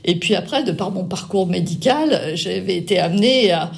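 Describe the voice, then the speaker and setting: A woman's voice: on the low side, expressive, normal speed, vocal fry. An older woman interviewing an author about her novel, speaking hesitantly with many fillers and restarts. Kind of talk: radio book interview.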